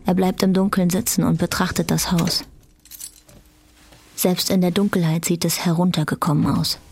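A woman's voice: fairly low pitch, flat delivery, moderate pace, vocal fry, darkish tone, slight background noise. A woman reading scripted narration for a German radio drama. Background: guitar music.

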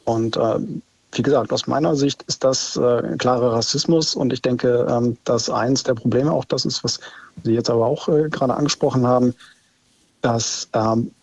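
A man calling in to a radio show, making a firm, deliberate argument with many fillers. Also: medium pitch, steady tone, vocal fry, dry recording. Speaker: caller. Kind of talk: phone-in opinion. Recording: dull phone line.

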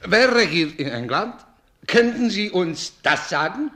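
An actor playing an inquisitive male scientist on an examining commission in a radio drama, formal and crisp. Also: high-pitched, big pitch swings, measured pace, sharp tone, exaggerated voice.

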